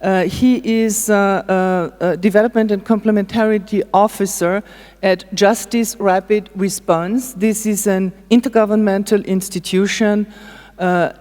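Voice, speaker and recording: A woman's voice: mid-range voice, steady tone, unhurried, a little mellow. A woman moderating a conference panel, introducing speakers with frequent hesitations and filler sounds. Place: conference hall. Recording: reverberant conference hall.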